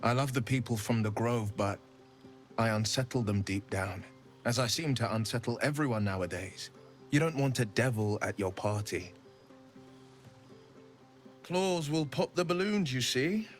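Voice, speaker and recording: An actor playing a noble, self-deprecating young hero turned devil in a fantasy game, earnest, courtly and rueful. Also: male voice, mid-range voice, animated, measured pace, pauses often, close to an everyday voice. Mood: rueful, melancholy. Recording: music behind the voice.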